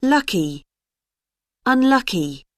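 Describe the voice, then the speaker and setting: A woman reading vocabulary pairs aloud slowly and deliberately for a language lesson. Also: on the high side, very animated, no room sound. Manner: slow, deliberate word-by-word reading. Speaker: word-list reader. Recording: dry studio recording.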